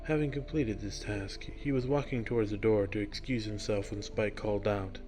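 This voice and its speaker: A man's voice: lowish voice, fairly even intonation, normal speed, mellow. A man narrating a scripted fiction story as its reader. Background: music.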